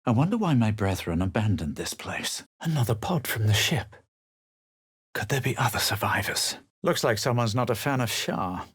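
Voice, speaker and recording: A haughty fantasy game hero with a low, slightly husky voice. He speaks with measured drama and proud superiority, in a dry, close recording. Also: expressive, a bit bright, no echo. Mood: brooding. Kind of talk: fantasy video game voice lines, narration and incantations.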